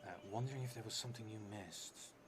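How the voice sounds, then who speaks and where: A man's voice: on the low side, fast. A man in his thirties improvising a story aloud for a solo tabletop game, hesitant and musing, often trailing off. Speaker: solo tabletop roleplay player and streamer. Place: indoors at a table in a theatre room. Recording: clearly noisy room recording.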